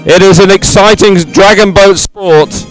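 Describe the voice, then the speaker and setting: A man giving live event commentary in a halting, improvised way as he fills time. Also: sits high, fairly even intonation, faint music behind, poor audio. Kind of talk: live commentary on results and medal ceremony.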